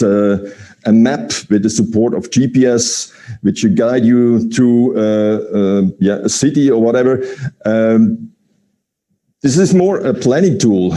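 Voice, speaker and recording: A man presents in a hesitant way, pausing on fillers and searching for the right word. His voice is dark and mellow at an ordinary male pitch. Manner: halting, many fillers, non-native phrasing. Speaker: company presenter. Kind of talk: live-streamed business presentation.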